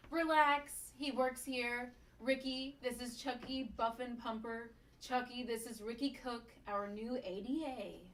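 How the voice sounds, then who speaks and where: A woman's voice: fairly high pitch, steady tone, measured pace, hardly any pauses, fairly bright tone. A woman playing a blunt, playful, encouraging office worker at a sitcom table read. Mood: reassuring, blunt. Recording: roomy, distant mic with background noise.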